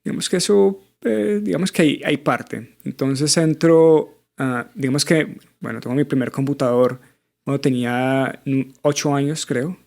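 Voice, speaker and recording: A man's voice: mid-range voice, normal speed, creaky, a little mellow. A man in his thirties from Colombia with a Bogotá accent, answering interview questions thoughtfully with many small fillers. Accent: Colombian Spanish, Bogotá. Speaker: guest. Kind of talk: conversational interview.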